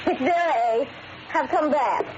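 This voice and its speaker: An actress playing a gangster's devoted, distraught sweetheart in a 1940s radio drama, with a breathless, melodramatic delivery. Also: female voice, high-pitched, normal speed, close to an everyday voice. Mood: eager, then uneasy. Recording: muffled, noisy old radio recording.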